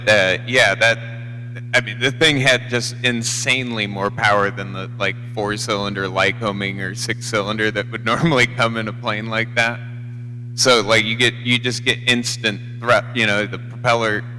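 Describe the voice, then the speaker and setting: A man's voice: lowish voice, bright, forceful tone. An American man chatting casually on a CB radio in a rambling, unpolished way. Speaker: CB radio operator. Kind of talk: casual chat. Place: live CB radio feed. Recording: noisy hum, long hollow reverb.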